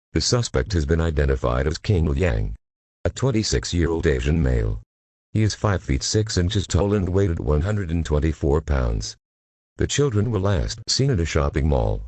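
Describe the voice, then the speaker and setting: Male emergency alert announcer, extremely low register, swinging intonation, stilted synthetic read of formal scripted warnings. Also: darkish tone, husky, no echo.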